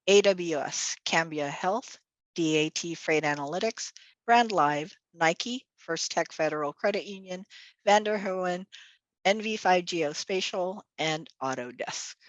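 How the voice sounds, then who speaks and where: A woman's voice: on the low side, no room sound, highs cut off. Upbeat woman hosting a video call. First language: Tagalog. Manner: upbeat, chatty, many fillers. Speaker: executive director and event host. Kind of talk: virtual event welcome and announcements. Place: home or office on a video call.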